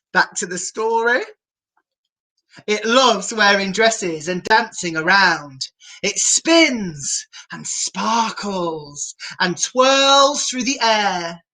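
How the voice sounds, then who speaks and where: A woman reading a picture book aloud to children as a storyteller, in an animated, encouraging and theatrical manner. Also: mid-range voice, rough recording.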